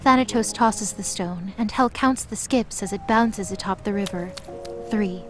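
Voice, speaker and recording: A woman's voice: mid-range voice, a little slow, faint music behind. A woman reading scripted narration for a mythological audio drama. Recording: clearly noisy background.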